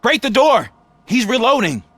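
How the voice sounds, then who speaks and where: A man's voice: very high voice, big pitch swings, normal speed, fairly bright tone, no echo, heavily acted. A man playing an eager young rookie police officer in a video game, earnest and urgent. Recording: very noisy street ambience with vehicles.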